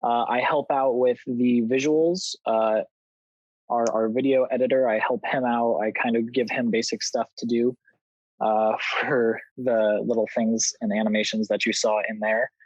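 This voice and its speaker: A man's voice: medium pitch, steady tone, unhurried, dry recording, muffled recording. A man talking casually as a podcast guest, in a modest, hesitant way. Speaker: podcast guest, production helper. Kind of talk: casual chat.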